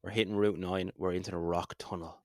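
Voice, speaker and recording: A man's voice: low voice, little pitch movement, fairly quick, no room sound, studio quality. An Irish man co-hosting a podcast, chatting casually as he narrates a game playthrough. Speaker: podcast co-host.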